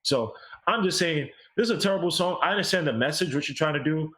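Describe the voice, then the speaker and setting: A man co-hosting a music review show, giving casual, opinionated critique in an animated, informal way and checking in with rhetorical questions. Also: fairly high pitch, fast.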